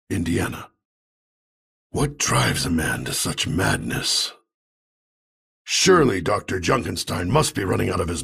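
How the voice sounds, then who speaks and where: An actor playing a grim older soldier in a video game, terse and resolute, with a gravelly, husky voice. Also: male voice, mid-range voice, fairly bright tone, full character voice. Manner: terse, world-weary, resolute. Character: grim, weary older male soldier, dutiful. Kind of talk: scripted video game voice lines.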